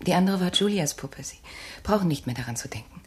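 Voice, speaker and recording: Actress doing composed caring woman sidekick, low voice, short questions, noisy background, radio drama. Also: animated, fast, natural voice. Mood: soft, soothing. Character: investigator's composed female companion, probing, caring. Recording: clear ambient noise behind the voice.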